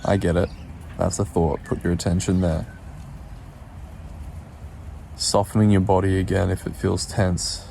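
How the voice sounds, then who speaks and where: Low, creaky-voiced man guiding meditation. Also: little pitch movement, warm tone, a little hiss or hum. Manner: soothing, unhurried, with pauses. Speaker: meditation guide. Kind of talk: guided meditation instruction.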